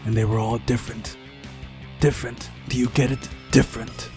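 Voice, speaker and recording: A man's voice: mid-range voice, flat delivery, normal speed, over music, natural voice. A man voicing a mysterious, unhinged young camp pioneer in a visual novel, with a taunting, theatrical manner. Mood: intense, unhinged.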